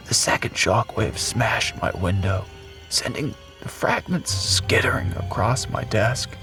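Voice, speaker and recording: A man playing a doomed blast survivor recording his last words in an audio drama, raspy, halting and breathy. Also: lowish voice, animated, pushed and bright, soft background music. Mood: shaken. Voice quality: raspy, coughing. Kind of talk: dramatic audio story monologue.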